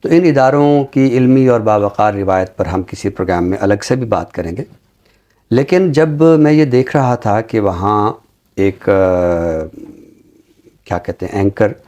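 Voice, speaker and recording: A middle-aged Pakistani man with a low, very soft-edged, mellow voice. He talks like a university teacher hosting a literary programme, conversational yet formal, choosing his words with care. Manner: formal, deliberate word choice. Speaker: talk show host, university teacher.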